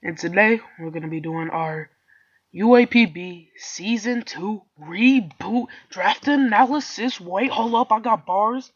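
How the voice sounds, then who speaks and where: A man's voice: sits high, unhurried, muffled recording. A man with a congested, stuffy voice, talking casually as a YouTuber, rambling in slang with joking asides. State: congested, sick. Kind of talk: casual solo video commentary.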